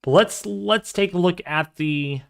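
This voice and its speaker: A man in his twenties hosting a casual livestream, talking through an astronomy program with excitable exclamations as he thinks aloud. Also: sits high, measured pace, vocal fry. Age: 20s. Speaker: livestream host.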